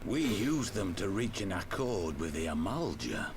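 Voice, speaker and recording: An actor playing a proud, defiant resistance fighter in a video game, speaking in a grave, declamatory way. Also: male voice, low-pitched, very animated, measured pace, crowd noise, heavily acted. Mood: grave, candid. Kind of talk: video game cutscene voice acting.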